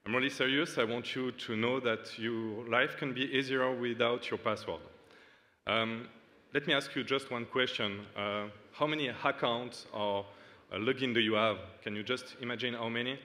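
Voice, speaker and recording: Guy, halting demo talk, bright voice, big echoey hall. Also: medium pitch. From Switzerland. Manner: halting, earnest, many fillers. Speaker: startup founder demoing. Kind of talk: stage product demo. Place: large dark hall on stage. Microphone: stage mic at a lectern. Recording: long hall reverb.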